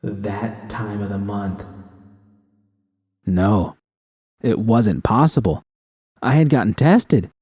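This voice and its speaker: A man's voice: fairly low pitch, slow pace, pauses often, warm tone, breathy edge, no echo, studio quality. A man reading a scripted first-person story as an audiobook narrator.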